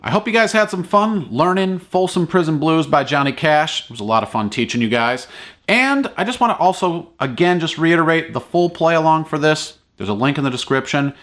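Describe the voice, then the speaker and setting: A man's voice: mid-range voice, a bit bright. A man giving a casual, encouraging play-along ukulele tutorial in an easygoing, informal way. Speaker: ukulele teacher and online video host.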